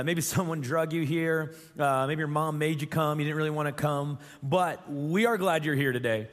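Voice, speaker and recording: A young adult man preaching a sermon as pastor, his voice scratchy and strained, talking earnestly and repeating phrases for emphasis. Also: fairly high pitch. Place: large church hall. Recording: long reverb from a church hall.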